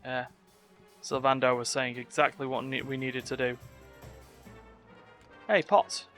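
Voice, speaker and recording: A man's voice: mid-range voice, monotone, moderate pace, fairly bright tone. A man casually commenting as he streams a video game, muttering to himself in short fragments. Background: game music.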